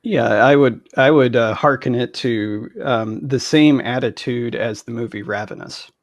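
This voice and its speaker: A man chatting casually as an interview guest, phrasing his thoughts in a halting, deliberate way. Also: medium pitch, no echo, clean studio sound. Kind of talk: casual anecdotal chat.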